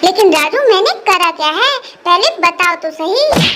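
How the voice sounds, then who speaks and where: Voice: extremely high pitch, lively intonation, normal speed, noisy background. A performer voicing a wronged young daughter-in-law, defensive and insistent, in a cartoon family melodrama. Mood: baffled, defensive.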